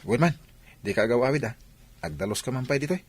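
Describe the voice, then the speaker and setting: Man acting exasperated village cousin, Ilocano Filipino accent, chiding and teasing, medium pitch, animated intonation, radio comedy drama. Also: fast talker, close to an everyday voice.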